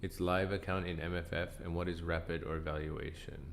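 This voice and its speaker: A man hosting a casual live trading stream, croaky and tired, answering chat questions in a relaxed way. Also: moderate pace, slight background noise. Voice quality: croaky, deep from sinus trouble. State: tired, up a long time. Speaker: livestream trading host.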